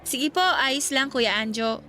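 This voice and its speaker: Girlish filipina student, 18, kinda sulky n shy, high bright voice, radio drama. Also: animated, unhurried, heavily acted. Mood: shy, polite. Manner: girlish, complaining, conversational. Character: sulky, shy eighteen-year-old Filipina student girl, Karina.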